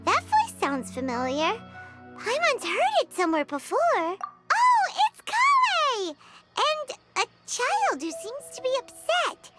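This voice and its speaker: Squeaky, excitable tiny fairy girl. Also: takes it slowly, exaggerated voice. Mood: curious, chirpy. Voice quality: squeaky, childlike. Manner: exclamatory, speaks of herself in third person. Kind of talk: anime game voice acting. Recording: loud music under the voice.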